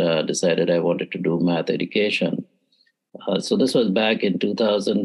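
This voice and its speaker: A man's voice: deep voice, creaky, rough recording. A middle-aged man from India, a math education professor, giving thoughtful interview answers with frequent "uh" and "you know".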